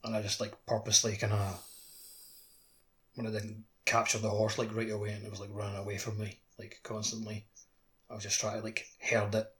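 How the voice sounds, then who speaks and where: Low-voiced man rambling with lots of "like". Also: completely flat delivery, fairly quick, a bit bright. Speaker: podcast co-host. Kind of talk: casual podcast chat.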